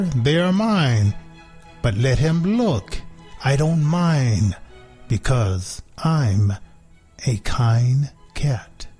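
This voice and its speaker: A man voicing a smug, possessive pet cat in a children's story, telling it deliberately with pauses. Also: medium pitch, warm tone.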